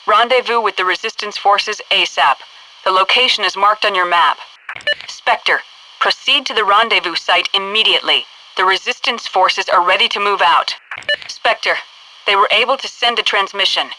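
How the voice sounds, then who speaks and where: An actress playing a composed, commanding headquarters officer who gives crisp, businesslike mission orders over military radio in a video game. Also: female voice, medium pitch, pushed and bright, slight background noise, rough recording.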